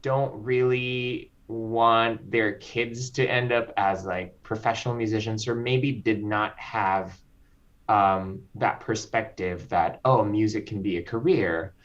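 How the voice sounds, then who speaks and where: A man from the Philippines, a composer guest speaking slowly and reflectively, with frequent ums. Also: lowish voice, steady tone. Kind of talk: interview conversation. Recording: slightly dull lo-fi audio.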